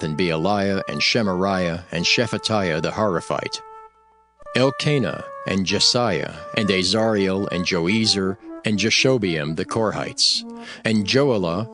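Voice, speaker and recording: Low-voiced male Bible narrator over music. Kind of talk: scripted scripture narration. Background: background music.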